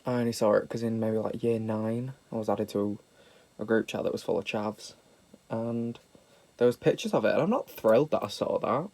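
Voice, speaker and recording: A man's voice: fairly low pitch, moderate pace, vocal fry, a little mellow. A young British man with a slightly nasal voice from a sniffle, chatting casually and self-mockingly through a makeup tutorial. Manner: sweary, camp and self-mocking. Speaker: makeup vlogger.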